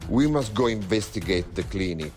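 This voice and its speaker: An actor playing a ruthless, short-tempered villain boss in an audio drama, giving curt commands. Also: male voice, lowish voice, expressive, moderate pace, natural voice. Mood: cold commanding. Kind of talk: scripted audio drama acting. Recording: music under the voice.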